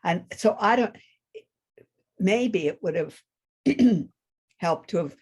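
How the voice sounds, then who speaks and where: A woman's voice: fairly low pitch, expressive, slow, slightly rough. A woman giving feedback on a story over a video call, thoughtful and often breaking off and restarting. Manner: thoughtful, self-interrupting. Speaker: workshop participant.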